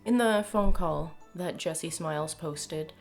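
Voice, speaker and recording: A young woman with a Canadian accent talks casually to camera in a fairly low voice. She is emphatic and exasperated, with a sardonic edge, as she shares her opinions. Soft music plays behind her. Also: slow pace, creaky. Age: young adult. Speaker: video commentator. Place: small home room, indoors.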